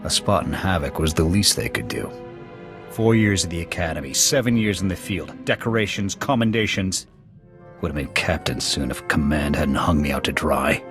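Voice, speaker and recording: An actor playing a gruff, proud alien military officer in a video game, with an American accent and a blunt, clipped military delivery. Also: male voice, sits low, animated, normal speed. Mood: gruff, bitter. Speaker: soldier serving as second to his commander. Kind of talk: video game dialogue acting. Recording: background music under the dialogue.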